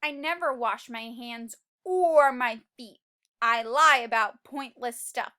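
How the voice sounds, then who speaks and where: A woman's voice: on the high side, slow, pushed and bright. A woman voicing a grumpy, boastful little male seed in a storybook, confessing bluntly and with emphasis. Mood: brazen, boastful. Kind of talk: storybook read-aloud.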